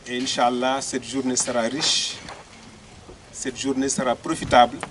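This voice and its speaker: A man from a school welcoming visiting students with a soft, warm speech. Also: mid-range voice, little pitch movement, unhurried, a little hiss or hum. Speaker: school director welcoming guests.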